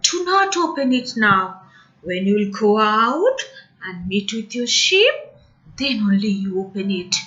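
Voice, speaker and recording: A woman's voice: medium pitch, sing-song, slow, pushed and bright, highs cut off, exaggerated voice. A woman storyteller voicing an aunt mouse who gives firm, emphatic instructions. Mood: calm instructing. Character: kindly but firm old aunt mouse giving instructions.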